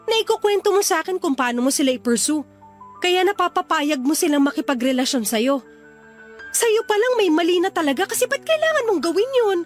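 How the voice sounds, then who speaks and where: A woman playing a caring but exasperated friend in a Filipino radio drama, earnestly admonishing with a Filipino accent. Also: very high-pitched. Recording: dramatic music behind the voice.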